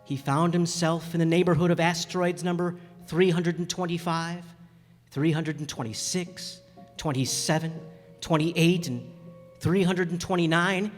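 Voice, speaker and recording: A male actor plays a reflective man telling his story in a radio drama. The voice is a little high, gentle and slightly hesitant, set in long hall reverb with music. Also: moderate pace, natural voice. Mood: storytelling. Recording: echoey hall with music bed.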